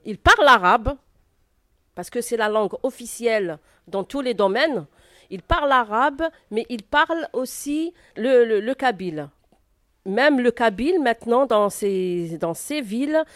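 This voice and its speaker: Hesitant woman, clear voice. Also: on the high side, dry recording, clean studio sound. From Algeria. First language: Arabic. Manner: hesitant, frequent filler pauses. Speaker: interview guest. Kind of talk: answering a class's questions.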